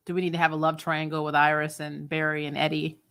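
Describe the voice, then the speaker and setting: A woman hosting a casual TV review chat, talking in an animated, sarcastic, exasperated way with blunt opinions and casual swearing. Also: sits low, quick pace, clear, resonant tone. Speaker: host of a TV show review channel. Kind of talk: casual spoiler recap chat, reading and answering viewer comments.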